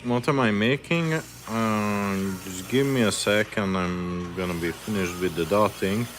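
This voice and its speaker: Slow-talking Hungarian man, low voice. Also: soft background music. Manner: relaxed, answers viewer questions between tasks. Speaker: lure maker. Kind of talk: casual livestream chat while working.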